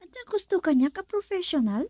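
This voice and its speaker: An actress playing a young woman who works at a club, asking her father lively questions. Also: very high-pitched, fairly quick, no room sound, studio quality. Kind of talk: radio drama acting.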